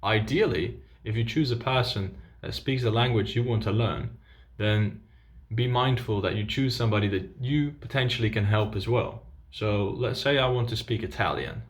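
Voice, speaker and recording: A young man whose first language is Dutch, presenting an upbeat video lesson with tips for viewers. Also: lowish voice. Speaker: language coach.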